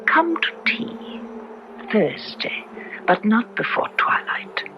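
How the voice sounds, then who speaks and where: An actress playing a mysterious, lovelorn ghost woman in an old radio horror play, speaking slowly and cryptically with eerie patience. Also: on the high side, sing-song, noisy background, exaggerated voice. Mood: haunting, wistful. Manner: slow, cryptic, drawn-out delivery. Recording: muffled vintage radio broadcast recording. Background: dramatic background music.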